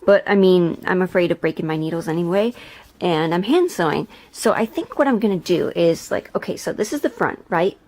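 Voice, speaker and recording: A woman's voice: fairly low pitch, expressive, a little fast, a little mellow. A woman chatting casually as she hosts a sewing vlog, thinking aloud in a rambling, self-deprecating way. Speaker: video host and hobby historical seamstress.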